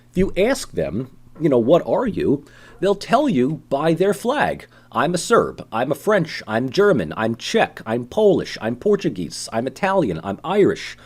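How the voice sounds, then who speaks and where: A male lecturer talks through politics in a loose, conversational style. His pitch swings widely as he poses rhetorical questions and tosses off quick imitations of everyday people, and he keeps coming back to "you know" and "um". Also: husky. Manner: animated, acts out voices of ordinary people. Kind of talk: recorded university lecture.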